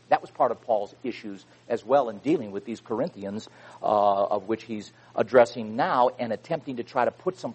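Animated husky male preacher. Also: mid-range voice, rough recording. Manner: animated, swings between loud emphatic reading and quiet asides. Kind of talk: sermon.

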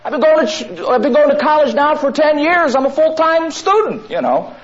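A man voicing an anxious, aimless young male college student who talks in a high, rushed, fretful way. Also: sing-song, a crowd in the background. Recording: dull lo-fi recording.

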